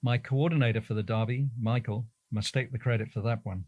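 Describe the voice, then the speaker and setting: A man's voice: medium pitch, fairly even intonation, fairly quick, no echo, highs cut off. A man reading out prepared interview answers.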